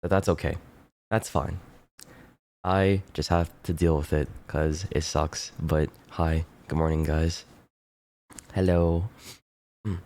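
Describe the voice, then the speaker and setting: A male streamer chatting casually with viewers, sounding stuffy and congested, rambling and swearing in a laid-back way while clearing his throat often. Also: sits low, steady tone, takes it slowly, long pauses, darkish tone.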